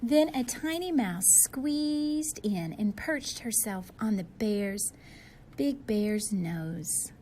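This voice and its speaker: A woman reads a storybook to young children at a slow, measured pace. Her delivery is expressive and teacherly, speaking directly to her little listeners. There is some light noise behind her voice. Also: medium pitch. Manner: expressive, addressing young listeners with simple questions and asides. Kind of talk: children's picture-book read-aloud.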